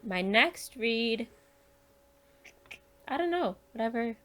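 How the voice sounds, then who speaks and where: A woman's voice: on the high side, lively intonation, takes it slowly, bright, forceful tone. A woman speaking as a podcast guest in a casual book chat, informal and chatty, laughing readily.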